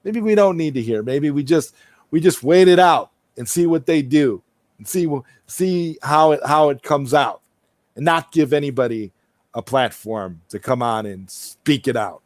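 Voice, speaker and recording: A man's voice: fairly high pitch, lively intonation, normal speed, darkish tone, dry recording. A man hosting a livestream, chatting casually and answering viewer comments, often repeating and rephrasing himself as he thinks aloud. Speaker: livestream host.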